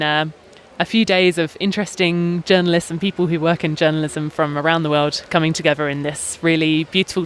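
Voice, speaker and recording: A British man hosting a podcast outdoors, talking in a measured, articulate way with small hesitations. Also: on the high side, a bit bright, a little hiss or hum. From Britain. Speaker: podcast host and journalist. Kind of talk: casual podcast talk. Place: outdoors in a busy town square.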